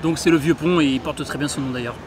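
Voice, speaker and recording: A man's voice: fairly high pitch, fast, noisy background. A man walking outdoors in a city, chatting enthusiastically to his viewers in a casual travel vlog. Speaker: travel vlogger. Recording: music playing behind.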